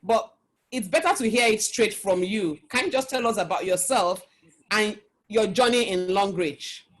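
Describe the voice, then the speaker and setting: Polite, mid-pitched interviewer on a call. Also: normal speed, a bit bright. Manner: polite, encouraging questions. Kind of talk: online interview. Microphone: video call.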